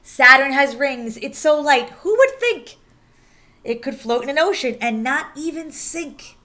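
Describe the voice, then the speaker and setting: A woman teacher reading a rhyming children's picture book aloud in an animated, sing-song way, stressing key words. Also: high voice, a little slow.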